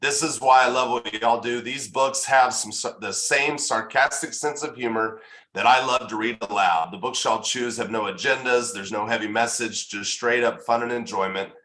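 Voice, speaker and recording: A man's voice: medium pitch, fairly even intonation, moderate pace, sharp tone. A man giving informal thanks as an event organizer, speaking earnestly and a bit hesitantly. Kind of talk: informal thank-you remarks at online event. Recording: roomy, echoing sound.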